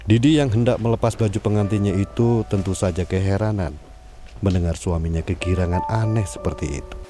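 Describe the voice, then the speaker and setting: Male storyteller for an audio drama, deep and measured, music under the voice. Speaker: narrator. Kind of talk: scripted drama narration.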